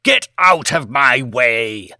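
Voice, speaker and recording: A man's voice: medium pitch, animated, slow pace, sharp tone, no room sound, clean studio sound, heavily acted. A man voicing a hot-tempered, grandiose old lord in an audiobook, declaiming with pompous melodrama. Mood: furious. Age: older.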